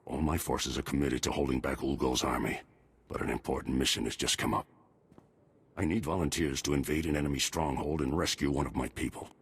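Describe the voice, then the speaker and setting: An actor playing a stern, worried middle-aged army major and father in a video game, gruff and commanding. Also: fairly even intonation, a little fast. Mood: commanding, urgent. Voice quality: very deep, gravelly husky voice. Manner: gruff, commanding military delivery.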